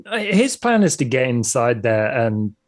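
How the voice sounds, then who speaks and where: A man's voice: medium pitch, sing-song, a little slow. A man playing a tabletop role-playing game, casually stating what his character plans to do.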